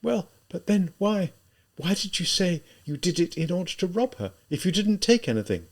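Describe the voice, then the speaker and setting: A man's voice: high-pitched, very animated, normal speed, pitched above the performer's own voice, exaggerated voice. A man voicing an anguished, timid young woman who pleads in breathless questions in an audiobook drama. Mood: urgent, timid.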